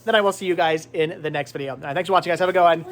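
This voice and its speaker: Male vlog host, high-pitched, informal and chatty, loose rambling delivery with repeated phrases. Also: very fast, a bit bright. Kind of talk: casual chatty vlog to camera. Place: on location at a flea market.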